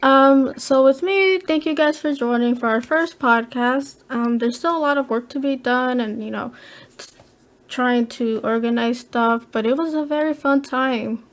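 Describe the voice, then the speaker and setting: A soft-spoken woman hosting a casual gaming podcast from home, chatty and giggly. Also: high-pitched, measured pace. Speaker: podcast host.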